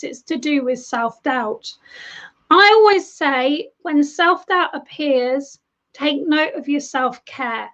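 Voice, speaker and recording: A woman giving live tarot readings to viewers, chatty and affectionate, calling them darling and love, her voice a little worn as she is losing it. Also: high voice. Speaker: tarot reader. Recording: dull lo-fi stream audio.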